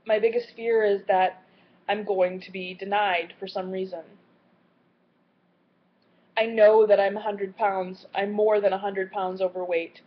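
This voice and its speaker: A woman in her late twenties talking in a personal video diary, earnest and candid, with a halting way of speaking. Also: mid-range voice. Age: late 20s. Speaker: vlogger. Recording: slightly muffled lo-fi audio.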